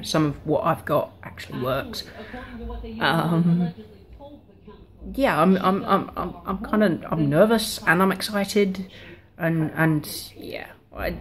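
A woman with a low-pitched voice talks casually to camera as a vlogger. She is in her thirties and rambles a little, hesitant in her phrasing. She is recorded at arm's length in a living room. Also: a little slow. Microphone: camera or webcam at arm's length.

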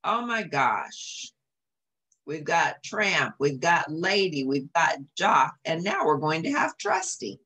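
Low, very animated woman storyteller. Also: slightly rough. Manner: animated, drawn-out emphasis, asks listeners questions. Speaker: storyteller, channel host. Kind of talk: expressive read-aloud for children. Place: small home office, indoors.

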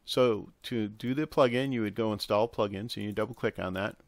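A man narrating a casual software tutorial, explaining step by step in an instructional way with hesitant pauses. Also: fairly low pitch, no room sound. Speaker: tutorial host. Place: close, dry indoor voice-over for a screen recording.

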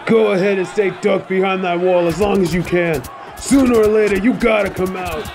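Man as brutish bandit leader, high-pitched for a male, sneering, theatrical, audio drama, noisy crowd backdrop. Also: normal speed, over music. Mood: gloating. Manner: taunting, menacing, theatrical. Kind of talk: scripted audio roleplay. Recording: battle crowd and clear noise behind.

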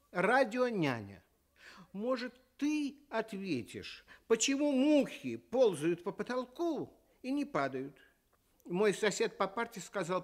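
High voiced guy announcing on an old soviet kids radio show, super animated. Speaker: children's radio show host.

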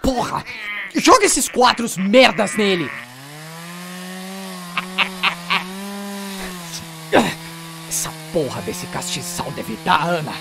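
A man's voice: sits high, very animated, measured pace, vocal fry, background noise, exaggerated voice. An actor playing an injured, desperate, foul-mouthed Brazilian man in an audio drama, urgent and emotional. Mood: panicked, furious. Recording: music over the voice.

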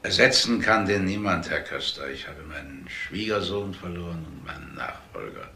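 An actor playing a weary, grieving elderly company owner with a gravelly, husky voice in a crime radio drama. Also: male voice, low voice, animated, normal speed, sharp tone, slight background noise, full character voice. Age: older.

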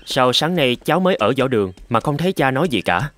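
A man voicing a gentle, courteous young samurai, the considerate eldest son in a cartoon. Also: medium pitch, sing-song, fast. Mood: caring. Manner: polite, considerate. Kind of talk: dubbed cartoon storytelling. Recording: loud music under the voice.

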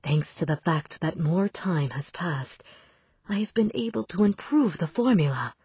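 A woman voicing a devout, sorrowful nun in a video game, reading letters to her son in a soft, tender, solemn, pleading voice. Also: on the low side, lively intonation, a little slow, no echo, studio quality, full character voice. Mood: wistful, solemn. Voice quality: breathy, soft. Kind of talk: letters read aloud in game cutscene.

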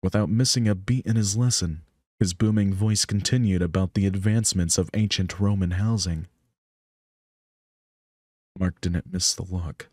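A man's voice: low voice, steady tone, moderate pace, pauses often, a little mellow, no echo, clean studio sound. A young man narrating a visual novel as a storyteller. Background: music.

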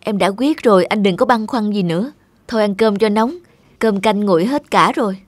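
A woman playing a modest, self-effacing young wife in a cartoon, gentle and hesitant. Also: medium pitch, a little fast, dry recording, close to an everyday voice. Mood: quietly resolute. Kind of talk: cartoon dialogue. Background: music.

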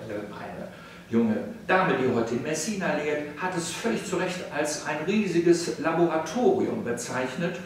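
An older professor lectures in a formal, rhetorical way, pausing on small hesitations as he goes. His voice sits a bit high for a man and carries a strong room echo, picked up by a camera mic set some distance away in a salon. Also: a little hiss or hum. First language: German. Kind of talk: freely spoken video lecture. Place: indoor salon room, seated on a sofa.

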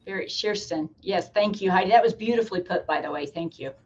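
A woman's voice: mid-range voice, quick pace, darkish tone. A woman hosting and moderating a panel discussion over a video call. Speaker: host, college administrator moderating a panel. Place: office, on a group video call. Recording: slightly dull video-call audio.

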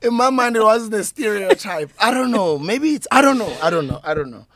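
A man's voice: high-pitched, animated, a little fast, a crowd in the background. A man in his forties with a South African accent hosting a podcast, chatty and friendly with his guest. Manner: warm, chatty, gives shout-outs. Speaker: podcast host. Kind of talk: casual interview conversation. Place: podcast studio. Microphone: boom mic on desk.

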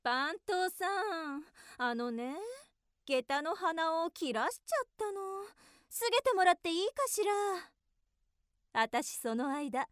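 Coquettish young geisha, high-voiced. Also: female voice, expressive, a little slow, fairly bright tone, no echo, clean studio sound. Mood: sweet, casual.

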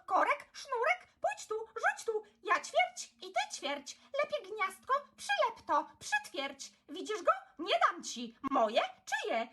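Woman as high-pitched squabbling little birds. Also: pushed and bright, heavily acted. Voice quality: high, chattering. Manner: short bickering, pleading bursts.

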